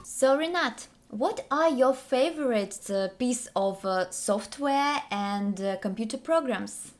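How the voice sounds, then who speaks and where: A woman's voice: fairly high pitch, lively intonation, slow pace, soft background music. A woman with a Russian accent, a native Russian speaker, co-hosting an English lesson in simple, careful question-and-answer conversation with some hesitant phrasing.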